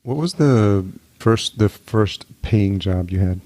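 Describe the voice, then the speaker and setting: A man's voice: fairly low pitch, expressive, slow, dark tone, dry recording, clean studio sound. A middle-aged man hosting a podcast, asking conversational interview questions. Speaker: podcast host.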